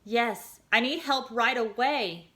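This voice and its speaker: A woman's voice: fairly high pitch, takes it slowly, sharp tone, pitched above the performer's own voice. A woman voicing a frightened, moody teenage girl who sounds shaky and dramatic. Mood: panicked.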